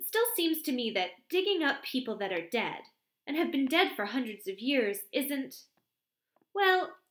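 A woman's voice: high voice, fairly bright tone, voice pushed up, exaggerated voice. A woman voicing a chatty, arch young secretary who gushes and gossips in an audiobook reading. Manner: chatty, breathless, exclamatory. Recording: roomy echo.

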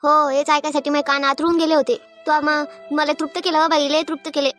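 A woman voicing a sharp-tongued, aggrieved elderly village mother-in-law in a cartoon comedy, scolding and complaining with a rural Maharashtrian accent. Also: high voice, level tone, faint music behind. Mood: bitterly aggrieved. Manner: scolding, complaining, rapid-fire. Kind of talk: animated family comedy acting.

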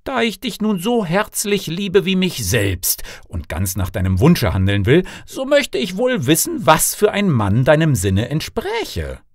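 A man's voice: on the high side, huge pitch swings, unhurried, hardly any pauses, no echo, clean studio sound, pitched above the performer's own voice, exaggerated voice. A man voicing a doting, eager king and father in a storybook reading, emphatic and declamatory. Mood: doting, expansive.

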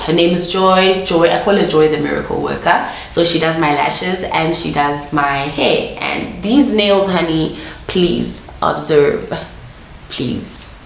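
A woman's voice: sits low, creaky. A young woman with a South African Sotho accent, chatting casually to camera in a vlog, her voice nasal from a flu. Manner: chatty, mixing English with Sesotho, pet names for viewers. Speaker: vlogger. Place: small room indoors, couch, pink lighting. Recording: roomy indoor sound with faint noise.

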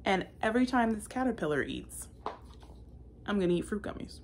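A woman's voice: mid-range voice, sing-song, brisk, a little mellow, a little hiss or hum. A woman in her twenties reading a children's picture book aloud in a playful, theatrical manner. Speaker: children's story-time reader. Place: indoor room with bookshelves.

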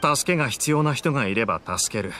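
A man's voice: mid-range voice, lively intonation, quick pace, pushed and bright, a little hiss or hum. A man playing a mild, polite young townsman in a video game, speaking hesitantly and thoughtfully. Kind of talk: video game dialogue acting.

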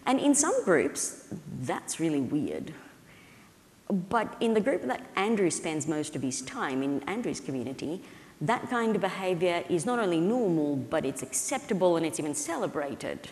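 Female keynote speaker, thirties, fairly low pitch, explains conversationally from a stage with audible hall reverb. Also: big pitch swings, normal speed, a little mellow. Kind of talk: conference talk, explanatory and conversational. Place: indoor stage at a conference, at a podium.

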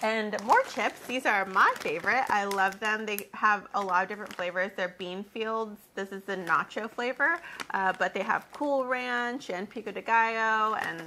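A woman's voice: medium pitch, moderate pace, bright, forceful tone, a little hiss or hum, rough recording. A young woman guest chatting casually and recommending things in a friendly way, filmed in a living room. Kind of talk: casual chat. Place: living room with window, indoors.

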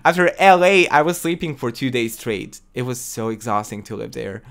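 A man's voice: medium pitch, normal speed, creaky. A young man with English as a second language, chatting casually to viewers while streaming a life-simulation game from a small room at home. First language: not English. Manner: chatty, excitable, talks to chat viewers. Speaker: livestream host. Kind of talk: casual gaming livestream chat.

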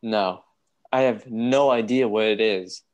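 A man playing a courteous, troubled gentleman doctor with polite, formal speech in a radio play. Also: fairly low pitch, slow. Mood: worried.

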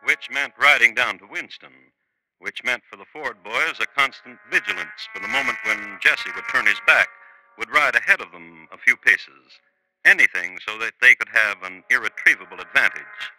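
A man narrating a 1950s radio drama in a dry, wry, deadpan storytelling manner. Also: medium pitch, normal speed, over loud music, close to an everyday voice, rough recording.

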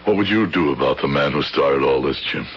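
A man's voice: extremely low pitch, brisk, a bit bright, slight background noise. An actor playing a grave, protective elderly professor father in a 1970s radio drama, formal and insistent. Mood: somber. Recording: dull vintage radio recording, music behind.

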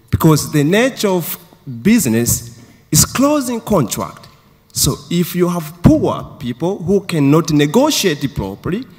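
A man from Tanzania making an earnest comment from the floor of a large conference hall into a handheld microphone. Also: medium pitch. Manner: earnest, gesturing with his hands. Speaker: audience member asking a question. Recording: long hall reverb.

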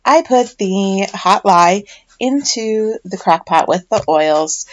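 A middle-aged woman talks through a home project in a casual voiceover. Her voice sits at an ordinary middle pitch, and her chatty, self-deprecating manner comes with small fillers and restarts. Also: lively intonation, slow. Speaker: home soap maker.

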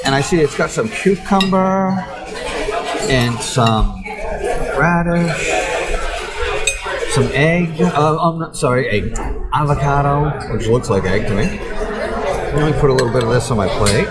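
A man's voice: medium pitch, a little slow, long pauses. A man in his fifties, a food vlog host, chatting to a table camera as he describes each dish and laughs often. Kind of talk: casual restaurant review to camera. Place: busy restaurant dining room by a window. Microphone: action camera on the table. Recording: busy restaurant with music. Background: restaurant chatter and background music.

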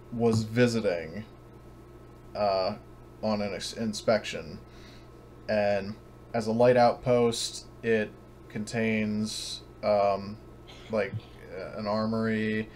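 A low-pitched man runs a tabletop game over an online call. He narrates casually and hesitantly, working things out aloud. There is a light, noticeable noise in the recording. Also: darkish tone. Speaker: game master. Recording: noisy voice-chat recording.

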